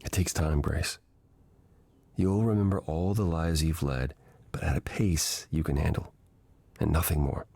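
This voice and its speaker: Old weary god mentor for a video game, really deep smooth voice, patient and measured. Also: male voice, fairly even intonation, darkish tone, no room sound, full character voice. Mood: gentle, reflective. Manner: measured, reflective, explanatory. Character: ancient weary god reborn in a man, gentle patient mentor.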